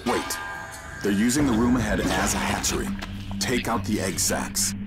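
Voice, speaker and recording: An actor playing a calm male mission handler, giving steady instructions in a video game. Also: on the low side, normal speed, natural voice. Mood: guiding. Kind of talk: video game cutscene voice acting. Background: game score music.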